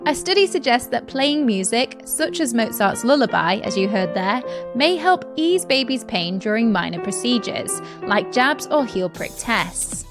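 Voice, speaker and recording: A woman presenting scripted news as a podcast host. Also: on the high side.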